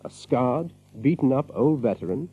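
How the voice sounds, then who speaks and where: A man narrating a scripted audio drama as a storyteller, with measured, dramatic delivery. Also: medium pitch, expressive, husky. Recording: muffled recording with music behind.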